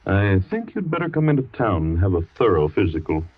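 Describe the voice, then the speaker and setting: An actor playing a family doctor who calmly soothes a frightened patient and waves off her fears. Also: deep voice, fairly quick, no echo. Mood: soothing. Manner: soothing, brushes fears off as nonsense. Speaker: radio drama actor. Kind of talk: old-time radio acting. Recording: dry, dull old radio recording.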